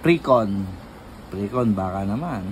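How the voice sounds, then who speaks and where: A man's voice: lowish voice, animated, unhurried. A man chatting casually through an unboxing vlog, laughing often and filling gaps with "ah". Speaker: moto vlogger. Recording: noisy, vehicle sounds behind.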